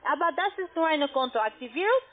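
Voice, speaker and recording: High-voiced woman on a tinny phone line. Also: big pitch swings. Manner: halting, insistent, step-by-step instructing. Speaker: call centre agent for an online trading platform. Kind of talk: scripted-sounding phone sales instruction. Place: office, on a phone call. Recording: muffled narrow phone line.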